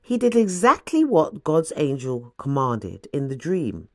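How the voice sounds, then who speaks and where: A woman reading scripture as scripted audiobook narration, with a deliberate, dramatic storytelling delivery. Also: low-pitched, sing-song, mellow, dry recording, clean studio sound.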